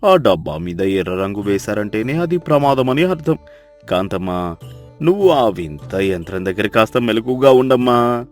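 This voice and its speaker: A man voicing village characters in a children's story, with a deep, slow, scolding manner. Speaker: narrator voicing male characters.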